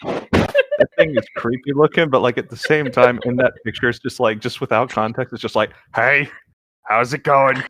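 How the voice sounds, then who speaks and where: A playful man in casual group banter, his pitch swinging in a lively way around a middle register. The recording is dry, with hardly any room around the voice. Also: normal speed, no room sound. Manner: joking, playful. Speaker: co-reader in a group creepypasta reading. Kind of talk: casual banter with read-aloud story lines.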